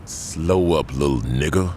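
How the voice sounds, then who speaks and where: A man playing a gruff, menacing crime boss in a video game cutscene, speaking street slang with slow authority and a gravelly voice. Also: rumbling low, a little mellow, slight background noise. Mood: stern warning. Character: commanding male crime boss, gruff, patient but menacing.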